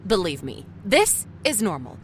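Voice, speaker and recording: A woman's voice: mid-range voice, sing-song, a little slow, slight background noise. A woman acting in an audio drama as a vain, foul-mouthed, ruthless TV executive, theatrical and sarcastic. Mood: mock sweet.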